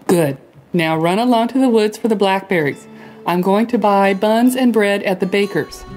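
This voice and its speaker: A woman's voice: mid-range voice, moderate pace, a little mellow, soft background music, natural voice. A woman playing a stern but caring mother rabbit in a children's puppet show, fussy and motherly.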